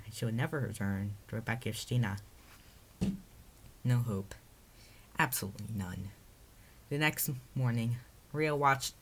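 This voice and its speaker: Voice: mid-range voice, a little hiss or hum. A narrator reading their own story aloud in a storybook read-aloud style.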